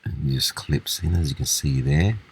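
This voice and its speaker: Very deep-voiced husky man. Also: normal speed. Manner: unscripted, step-by-step explaining. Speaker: repair demonstrator. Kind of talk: casual hands-on repair walkthrough.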